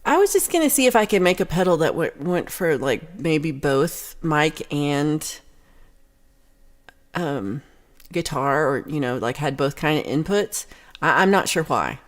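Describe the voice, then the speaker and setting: A woman's voice: low-pitched, lively intonation, measured pace. Chatty Texan woman hosting a livestream. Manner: chatty, rambling, reads out viewer comments. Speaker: livestream host reading chat. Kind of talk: casual chat.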